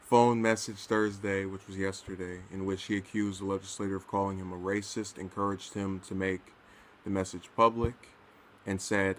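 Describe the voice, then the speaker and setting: A man's voice: low voice, level tone, moderate pace, slight background noise, rough recording. A man casually reading and commenting on news, stumbling over his words now and then.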